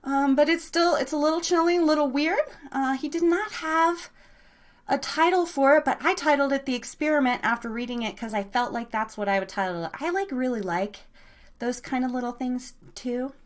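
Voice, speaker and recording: A woman with a fairly high voice hosts a live podcast. She talks in a casual, informal way, often repeating words for emphasis, as if chatting with regulars. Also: normal speed. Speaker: host of live horror story podcast. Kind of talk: casual live show chat.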